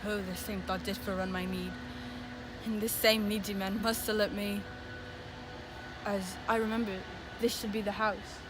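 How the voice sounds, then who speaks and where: A woman's voice: mid-range voice, flat delivery, moderate pace, background noise, close to an everyday voice. A woman playing a lovesick young man driven desperate by grief, in declamatory, theatrical Shakespearean verse. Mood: grim, brooding. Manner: declamatory, impassioned.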